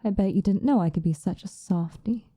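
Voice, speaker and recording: A woman playing a possessive vampire lover in an ASMR roleplay, soft and often whispering close to the microphone, coaxing with condescending affection and a hint of menace. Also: medium pitch, fairly quick, slightly rough, no echo, lightly acted. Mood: tender, possessive.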